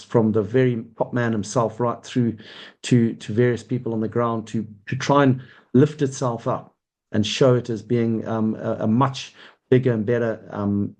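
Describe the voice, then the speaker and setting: A man's voice: mid-range voice, darkish tone. This is a middle-aged man with a Zimbabwean accent. He speaks as an executive being interviewed, and he explains things at some length. His answers move step by step in a conversational way. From Zimbabwe, Southern Africa. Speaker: mining company chief executive being interviewed.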